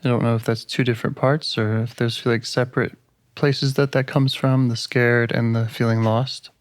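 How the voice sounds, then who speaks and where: A man leading a live therapy session over a call, gentle and calm, pausing to reflect as he speaks. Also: medium pitch, dry recording, studio quality. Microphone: call audio.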